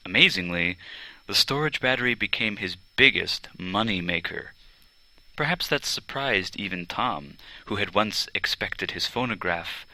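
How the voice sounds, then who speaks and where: A man reading a children's biography aloud as scripted narration, in a measured, clearly enunciated way. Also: fairly low pitch, animated, bright, forceful tone, no room sound, poor audio. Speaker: audiobook reader.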